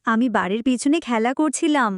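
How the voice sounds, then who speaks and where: A woman plays a stubborn little village girl in a cartoon, high-voiced and pleading. The sound is very dry. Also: brisk, dry recording, lightly acted. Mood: pleading, sulky. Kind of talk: cartoon voice acting.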